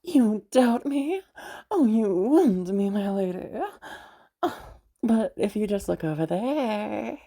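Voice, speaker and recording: A woman acting as a playful, caring party host in an intimate audio roleplay, soft-voiced and hesitant, rambling as she gently reassures the listener. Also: mid-range voice, animated, takes it slowly. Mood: playful, flustered.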